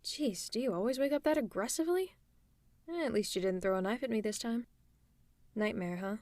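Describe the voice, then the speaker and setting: A woman playing a tough but caring female supervillain in an ASMR roleplay, speaking in a conversational, reassuring and gently teasing way. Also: on the high side, a little fast, dry recording, close to an everyday voice. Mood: calming, teasing. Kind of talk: ASMR roleplay audio drama.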